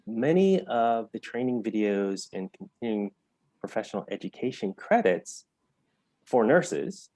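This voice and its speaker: A man with an American accent and a fairly low voice, recorded close and dry. He answers as an interview guest, explaining his points carefully and leaning on key words. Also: a little mellow, no room sound. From United States. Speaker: interview guest, health entrepreneur.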